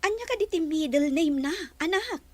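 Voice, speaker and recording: A devoted mother with an anxious streak. Her voice is high, with a Filipino accent and the delivery of a radio drama. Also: lively intonation, moderate pace, darkish tone, no room sound. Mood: puzzled, uneasy. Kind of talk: Philippine radio drama acting.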